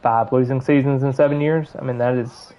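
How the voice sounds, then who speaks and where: A man's voice: medium pitch, steady tone, fairly quick, highs cut off. A man from Alabama with a Southern accent, chatting casually and rambling while he plays a video game on stream. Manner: rambling, filler-heavy, chatting while playing. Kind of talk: casual gameplay commentary.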